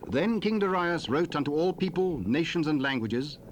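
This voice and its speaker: A man's voice: on the high side, animated. Solemn male bible narrator, tape hiss. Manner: measured, solemn storytelling. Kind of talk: scripted scripture narration for audio drama. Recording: hissy cassette tape rip.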